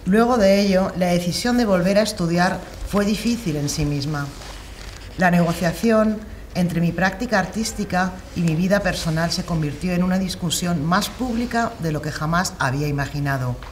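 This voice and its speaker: Middle-aged woman, lowish voice, steady reading aloud, faint background hum. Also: moderate pace. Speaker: reader in a shared reading group. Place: large hall, seated on the floor.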